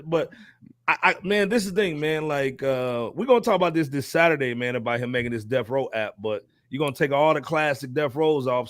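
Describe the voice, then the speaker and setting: A man's voice: mid-range voice, dry recording, studio quality. An American man co-hosting a casual hip-hop podcast, slangy and opinionated, talking in bursts. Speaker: podcast co-host.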